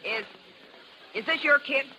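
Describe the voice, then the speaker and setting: A bitter, scheming carnival showwoman in an old 1930s radio drama. She has a very high, piercingly bright voice and a slangy, tough American delivery. Faint noise runs through the vintage recording. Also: measured pace, rough recording. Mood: suspicious.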